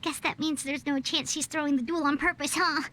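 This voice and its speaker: A woman's voice: sits high, steady tone, fairly quick, pushed and bright, a little hiss or hum. A woman voicing a tiny childlike fairy companion in a video game, chatty, anxious and wide-eyed, full of exclamations. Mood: worried, surprised.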